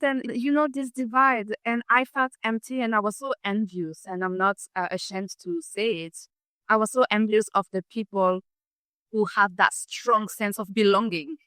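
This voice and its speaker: A woman with a French accent hosts a podcast interview, her voice bright and forward. She tells her own story expressively, recorded close and dry with no room sound. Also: a little high, no echo. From France. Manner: expressive, shares personal story. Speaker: podcast host.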